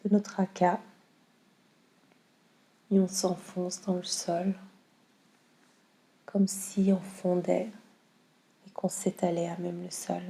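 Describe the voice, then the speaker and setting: A woman guiding a yoga nidra meditation in a calm, soothing, unhurried way with long pauses. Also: on the low side, vocal fry. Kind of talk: slow guided meditation.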